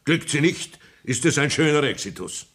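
A man's voice: a little high, animated, normal speed, fairly bright tone. A man playing a pompous old country doctor who lectures theatrically in a 1960s radio play. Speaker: Sanitätsrat.

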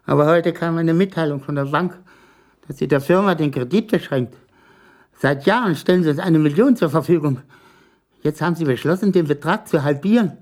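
Male actor, company manager, higher-pitched, guarded, troubled, defensive, radio drama. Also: a little fast, darkish tone, close to an everyday voice. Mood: bitter, troubled. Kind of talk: 1980s German radio drama acting.

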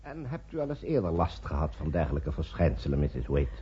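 Low, husky, measured male doctor. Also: very animated, warm tone, heavily acted. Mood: clinical, measured. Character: measured, guarded male physician. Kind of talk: radio drama acting. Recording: muffled old radio recording.

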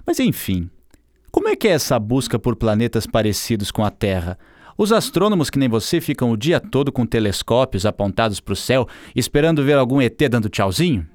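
A man with a Brazilian accent playing a down-to-earth, gently teasing skeptic who chats casually in a radio drama. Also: mid-range voice, no room sound, studio quality, close to an everyday voice. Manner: casual, questioning. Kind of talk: scripted conversational radio drama.

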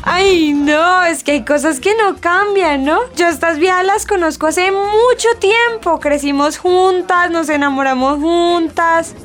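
An actress playing a warm, candid young Colombian woman in a radio soap opera. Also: extremely high pitch, measured pace, hardly any pauses, faint music behind. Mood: chatty.

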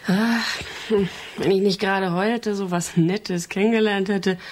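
A woman's voice: mid-range voice, fairly even intonation, a little slow, darkish tone, slight background noise, lightly acted. An actress playing a blunt, sceptical, chatty woman tourist in a German radio drama.

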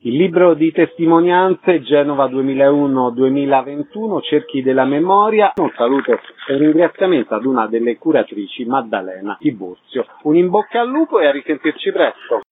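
A man's voice: mid-range voice, expressive, normal speed, few pauses, husky. A man presenting a radio programme as its host. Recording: slightly dull radio sound.

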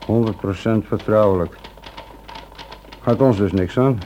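A man as a low-voiced, familiar police assistant. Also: unhurried, mellow, close to an everyday voice. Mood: curious. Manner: familiar, lightly teasing. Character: cheeky, willing male police assistant. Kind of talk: radio drama acting. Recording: noisy old radio play recording.

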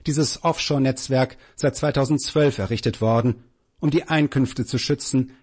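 A man reading scripted documentary narration in a factual, measured way as a narrator. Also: medium pitch, darkish tone, slightly rough.

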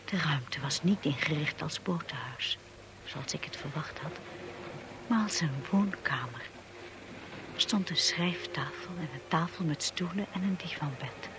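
An actress playing a timid, anxious young wife in a Dutch radio drama, hesitant and devoted, also narrating softly in the first person. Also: female voice, deep voice, animated, a little slow. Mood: hushed, reflective narration. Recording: noisy old radio play recording.

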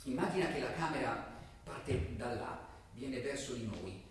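A man playing a weary, doubting would-be assassin in a stage drama, subdued, halting and low-key. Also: animated, natural voice. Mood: softly persuading. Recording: distant, very echoey room with faint noise.

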